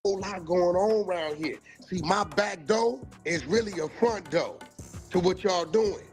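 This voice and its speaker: Confrontational American man, fairly high voice. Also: measured pace, soft background music. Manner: confrontational, slangy, emphatic. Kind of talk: casual monologue, calling people out.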